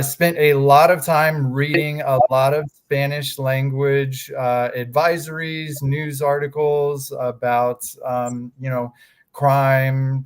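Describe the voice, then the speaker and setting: A man's voice: medium pitch, clear, resonant tone. A man from Texas in his thirties, speaking, talking casually in an interview with many fillers and restarts. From Texas, USA. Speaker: guest, fraud examiners association staff.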